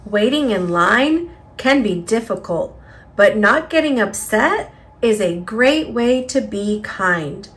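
A woman reading a children's picture book aloud with careful, expressive delivery for young listeners. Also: mid-range voice, slow pace. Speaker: storybook reader.

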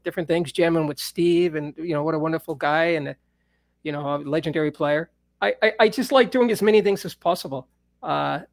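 A man's voice: fairly high pitch, creaky, no room sound. A middle-aged man hosting a casual live-stream chat from his home studio, talking in a laid-back, rambling way with frequent laughs. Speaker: host. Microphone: studio mic on a stand.

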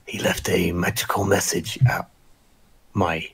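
A man playing a tabletop game, talking in a hushed, whispery way. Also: sits low, flat delivery, a little slow, husky. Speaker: player. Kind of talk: in-character tabletop roleplay.

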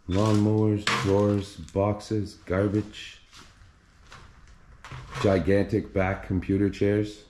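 A man filming himself as he explores a flooded basement in an abandoned house, talking in a low, hushed voice while crouching and climbing over clutter. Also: a little hiss or hum. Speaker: urban explorer filming a tour. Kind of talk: hushed exploration vlog narration.

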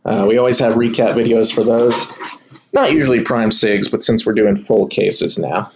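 A man's voice: fairly low pitch, normal speed, lo-fi sound, a little hiss or hum. A man hosting a live sports card break, talking casually to his viewers as he opens boxes at a table indoors. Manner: relaxed, chatty, addresses viewers as y'all. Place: indoor room at a kitchen table.

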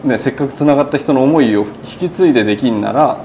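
A man's voice: medium pitch, quick pace, darkish tone. Chatty man in his thirties, slightly noisy room. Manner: chatty, recounting in long run-on sentences with quoted speech. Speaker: burger shop owner being interviewed. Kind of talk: casual conversational interview. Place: indoors in a small burger shop. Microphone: lapel mic.

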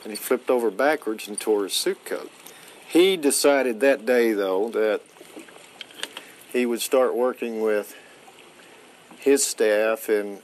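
A man's voice: lowish voice, a little hiss or hum. A man in his forties recounting an anecdote in an archive interview, sitting outdoors in an open vehicle.

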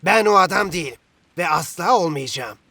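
Proud, irritable young soccer star, higher-pitched. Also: male voice, expressive, brisk, creaky, sharp tone, no echo, full character voice. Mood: irritated, defensive. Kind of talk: animated cartoon dub.

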